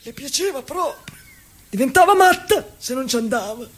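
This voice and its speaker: An actor playing a simple, tender, troubled asylum-dwelling husband in a halting, repetitive theatrical monologue. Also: male voice, very high voice, expressive. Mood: tender, proud. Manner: halting, repetitive, swinging from hushed to loud. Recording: clearly noisy ambient background.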